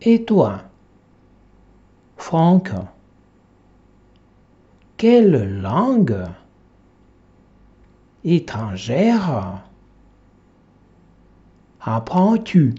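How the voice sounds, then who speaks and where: A man teaching a language, reading a textbook dialogue aloud one short phrase at a time, with long pauses for learners to repeat. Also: a little high, huge pitch swings, mellow. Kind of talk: slow dictation-style reading for repetition. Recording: dull lo-fi classroom recording.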